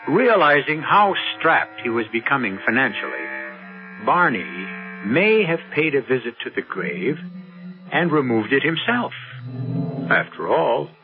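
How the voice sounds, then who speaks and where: Narrow, muffled old radio sound with clear music behind carries an American man narrating a mystery. His voice is mid-pitched and his delivery measured, theatrical and wry, as a seventies radio host.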